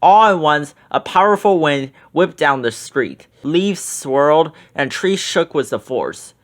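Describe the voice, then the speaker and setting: A man reading a children's book aloud in an expressive, lively way. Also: on the high side, measured pace.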